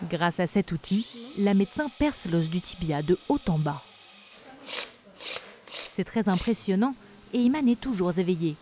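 A woman reading a scripted documentary voice-over in a measured, even way. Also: lowish voice, a little hiss or hum. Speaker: documentary narrator. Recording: voice-over with music behind. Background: music.

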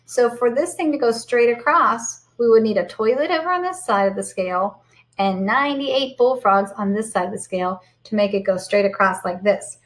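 Higher-pitched American woman teaching young children. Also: moderate pace. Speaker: primary school teacher reading aloud to children. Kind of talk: picture book read-aloud with explanations for children. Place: indoors at home, in front of a computer.

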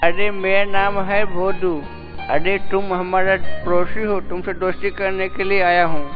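A chubby, put-upon boy from a children's cartoon. The voice is high and earnest, with simple, emphatic exclamations. Music plays prominently behind it. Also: flat delivery, a little slow, rough recording. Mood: friendly, eager. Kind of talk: Hindi children's cartoon dubbing.

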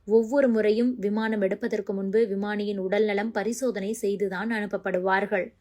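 A woman's voice: medium pitch, steady tone, normal speed, darkish tone. A woman reading scripted news narration as a news narrator.

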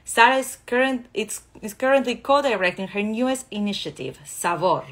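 Argentine-accented woman host, excited. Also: fairly high pitch, moderate pace. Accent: Argentine Spanish. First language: Spanish. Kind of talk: hosting a video-call interview. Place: living room indoors with lamps. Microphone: video call, phone or laptop camera.

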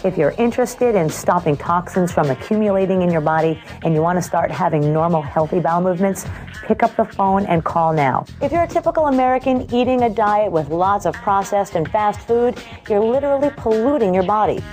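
A woman with a mid-pitched voice reads a scripted infomercial. She addresses the viewer directly as a presenter, with light music playing behind her. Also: lively intonation, fairly quick, darkish tone.

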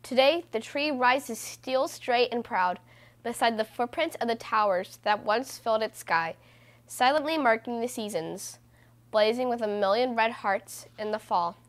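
A woman reading a children's book aloud as host, in a measured, deliberate way with stressed phrases. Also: a little high. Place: small room indoors.

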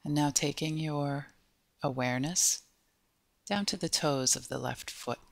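A woman leads a guided body scan meditation at a very slow pace. Her delivery is gentle, with short phrases separated by pauses. The sound is dry and close, with little room to it. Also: deep voice, gravelly, no echo. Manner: gentle, unhurried, pausing between short phrases.

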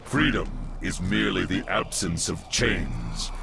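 An actor playing an ancient Roman Praetorian guard in a video game, gravelly, grave and declamatory, speaking in solemn archaic phrases. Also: male voice, deep voice, very animated, measured pace, background noise, full character voice. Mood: solemn, ominous. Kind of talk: video game cutscene acting.

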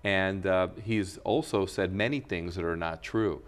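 A man's voice: low-pitched, measured pace. A middle-aged man speaks as a studio guest, earnest and argumentative, gesturing as he talks. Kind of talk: studio interview. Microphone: lapel mic.

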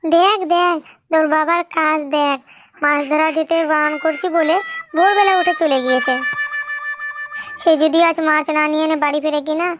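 Very high-pitched nagging cartoon wife played by a woman. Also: fairly even intonation, moderate pace, long pauses, noisy background, lightly acted. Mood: scolding. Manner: nagging, exclamatory. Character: scolding, bossy fairy-tale wife and mother. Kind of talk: Bengali fairy-tale cartoon dubbing.

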